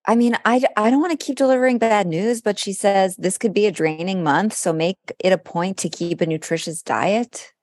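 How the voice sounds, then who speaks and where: A woman's voice: medium pitch, moderate pace, few pauses, darkish tone, no room sound, clean studio sound. A woman chatting casually as a podcast co-host, in a frank, wry way. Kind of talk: casual chat about horoscopes.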